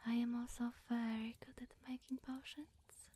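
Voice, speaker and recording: A woman playing a lonely, awkward immortal witch in an ASMR roleplay, speaking in a breathy, whispery, hesitant and coy way. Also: on the high side, little pitch movement, moderate pace, mellow. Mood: lonely, hopeful.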